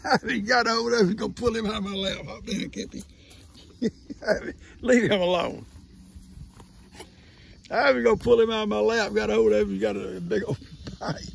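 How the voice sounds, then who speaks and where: A man, hoarse from losing his voice, chatting and teasing his farm animals outdoors into a phone held at arm's length. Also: high-pitched, a little hiss or hum. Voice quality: gravelly. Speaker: farm vlogger. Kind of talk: casual talk to animals and camera. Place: outdoors under a shade tree on a farm.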